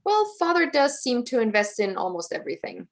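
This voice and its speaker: Woman acting modest young society heiress, slightly high voice, candid, formal, a little hesitant, period stage drama. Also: sing-song, brisk, gravelly. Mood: polite, candid. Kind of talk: play reading, period drama. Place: indoors, remote online reading.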